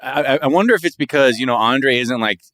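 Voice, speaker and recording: A man's voice: mid-range voice, fast talker, a bit bright, no echo, studio quality. An American man in casual discussion, explaining his views at length in an analytical, wordy way with casual swearing.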